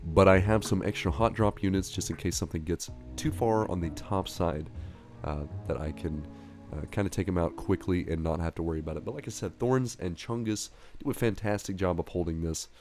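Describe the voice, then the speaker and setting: A low-pitched man talks through his gameplay in an easygoing, rambling way, full of uhs and ums. His voice sounds stuffy and nasal, a little worn and hoarse from being sick. Clear guitar music plays underneath him. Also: fairly quick. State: nasal and slightly hoarse from recent illness. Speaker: gaming channel host. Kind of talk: casual gameplay commentary voice-over.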